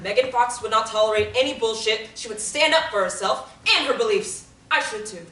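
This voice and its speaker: A woman's voice: a little high, brisk, bright, forceful tone, slight background noise. A woman reading the part of a blunt young woman in group therapy. She speaks in an eager, outspoken way. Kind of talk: table reading of a stage play. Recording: echoey room from a distant mic.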